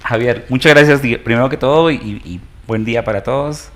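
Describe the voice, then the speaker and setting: A man's voice: medium pitch, fairly quick, a bit bright. A Colombian man in his fifties, an entrepreneur and interview guest, telling his story hesitantly with many fillers. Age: 50s.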